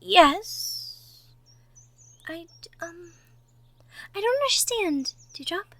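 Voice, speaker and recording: A woman voicing a sweet, shy young cottage girl in love, gentle and stammering, in a girlfriend roleplay audio drama. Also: extremely high pitch, lively intonation, drawn-out words, exaggerated voice. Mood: flustered, surprised. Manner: stammering, gentle, breathy asides.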